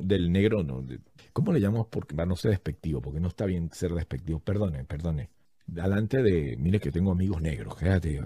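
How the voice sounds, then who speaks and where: A man's voice: sits low, dark tone, slightly rough, no room sound. A man telling a story in a casual, comedic way, animatedly acting out what other people said.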